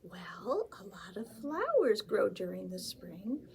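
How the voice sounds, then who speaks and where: Big Mouse, a soft-spoken patient grown-up mouse played by a woman. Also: medium pitch, big pitch swings, takes it slowly, creaky, exaggerated voice. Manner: soft, half-whispered, sing-song rhymes. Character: calm grown-up mouse who patiently explains.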